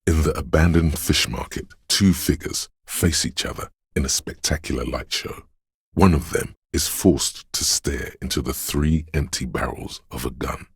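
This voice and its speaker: An actor playing a brooding, all-knowing inner voice in a video game, narrating slowly and poetically in the second person with ominous solemnity. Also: male voice, dry recording, studio quality, exaggerated voice. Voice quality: gravelly, husky bass. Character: brooding, omniscient inner voice narrating a city's shivers.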